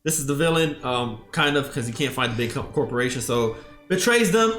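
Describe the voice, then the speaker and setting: A man's voice: medium pitch, lively intonation, brisk, a bit bright. A man co-hosting a movie review with casual, slangy, joking commentary and some mild swearing. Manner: slangy, joking, mildly profane. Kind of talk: casual film commentary banter. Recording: roomy echo, faint music behind.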